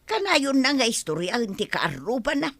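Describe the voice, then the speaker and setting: A woman's voice: high-pitched, big pitch swings, normal speed, a bit bright, no echo, heavily acted. A woman playing a chatty, gossip-loving older neighbour with a confiding manner and an Ilocano accent in a radio drama. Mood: gossipy. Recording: noticeable background noise.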